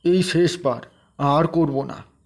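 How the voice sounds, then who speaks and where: A man's voice: fairly high pitch, takes it slowly. A man playing a sly, pleading old village man who begs, in a cartoon story. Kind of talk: Bengali cartoon story dialogue. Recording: music behind the voice.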